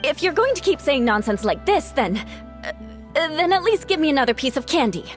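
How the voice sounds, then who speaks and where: An actress playing a proud, aloof young noblewoman in an anime game, with a haughty, crisp delivery. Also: high-pitched, big pitch swings, a little fast, faint music behind. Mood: indignant.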